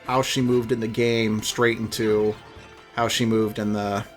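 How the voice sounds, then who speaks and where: A man's voice: fairly low pitch, level tone, unhurried. Man chatting gaming trivia over music. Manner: explains fan trivia and opinions at length. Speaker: let's play co-commentator. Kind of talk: casual gaming commentary chat.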